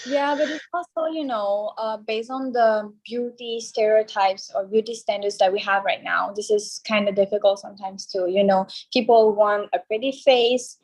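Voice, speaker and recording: A young woman, a pageant contestant from France whose first language is Cambodian, chatting relaxed and a little hesitant on a video call interview. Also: mid-range voice, moderate pace, hardly any pauses, poor audio. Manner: relaxed, chatty, with frequent fillers.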